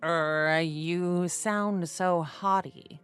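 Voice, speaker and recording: A woman's voice: lowish voice, steady tone, drawn-out words, fairly bright tone, smooth, soft background music. Lady narrator doing a stuck-up businessman, flustered, stammering and wheedling, audio story. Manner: hesitant, stammering. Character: haughty businessman turned flustered, pleading flatterer.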